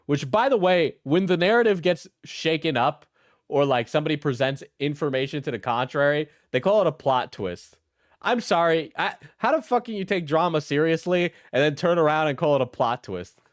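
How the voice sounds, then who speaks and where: A man's voice: on the high side, expressive, moderate pace, no room sound, studio quality. A man giving casual, opinionated commentary in a blunt, mocking way, swearing freely and often checking his points with "right?". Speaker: commentator reacting to an interview.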